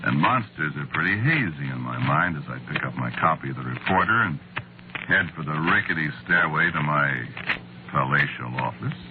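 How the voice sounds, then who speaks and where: An American actor playing a wry, put-upon screenwriter in a 1940s radio drama, talking in a halting, conversational, sardonic way. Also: male voice, very deep voice. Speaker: narrator and lead actor. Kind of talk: 1940s radio acting, conversational first-person narration. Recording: muffled, noisy old radio recording.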